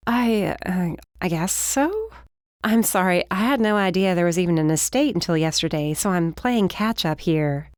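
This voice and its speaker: A woman voicing a spirited young woman who talks in a casual, blunt, excitable way in an audiobook. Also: on the low side, normal speed, no room sound, clean studio sound, close to an everyday voice. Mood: flustered.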